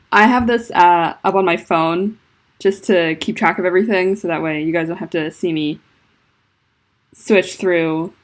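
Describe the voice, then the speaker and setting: A woman with a low, deep voice and a noticeable lisp talks to her livestream viewers from home. She rambles through her thoughts with plenty of fillers and sounds a little self-conscious. Her voice is picked up by a laptop mic. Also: a little slow, long pauses. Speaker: livestreaming fanfiction writer. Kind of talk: casual livestream chat. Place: at home indoors.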